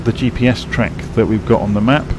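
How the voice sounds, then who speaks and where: A man streaming a flight simulator, explaining cockpit instruments in a rambling, self-correcting way, his voice croaky and tired from a late night. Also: lowish voice, fairly even intonation, fast, background noise. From England. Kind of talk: casual live-stream commentary.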